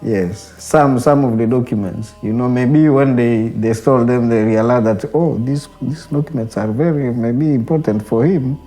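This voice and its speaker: A young man with a Congolese accent and Swahili as his mother tongue, speaking haltingly and reflectively in English, often repeating phrases. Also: mid-range voice, dark tone. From Democratic Republic of the Congo. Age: young adult. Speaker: Benedictine monastic brother, interviewee. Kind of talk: reflective personal interview. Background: background music.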